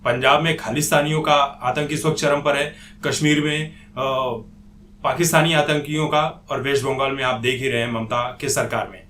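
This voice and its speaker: A man's voice: medium pitch, fairly even intonation, normal speed, fairly bright tone, slight background noise. A man giving an opinionated political commentary monologue in an indignant, accusatory manner. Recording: echoey room.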